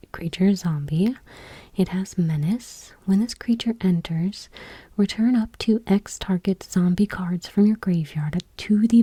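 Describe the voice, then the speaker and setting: A woman host speaking in a soft, breathy whisper, gently and without hurry, reading text aloud in ASMR style. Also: on the low side, no room sound.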